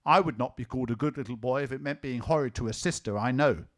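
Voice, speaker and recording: A man's voice: medium pitch, fast talker, lightly acted. A man voicing a stubborn, sulky little girl who speaks sharply and scornfully. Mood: scornful, defiant.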